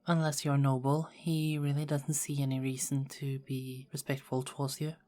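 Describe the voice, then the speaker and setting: A woman playing a caring, dutiful young queen in an ASMR roleplay, speaking formally and softly with small hesitant stammers. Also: extremely low pitch, little pitch movement, normal speed. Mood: confiding, disapproving. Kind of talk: ASMR roleplay, scripted character acting.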